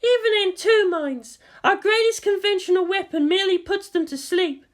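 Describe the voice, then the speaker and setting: A woman voicing a fierce, commanding female military officer in a story reading, with forceful, emphatic delivery. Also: very high-pitched, moderate pace, voice pushed up. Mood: grim.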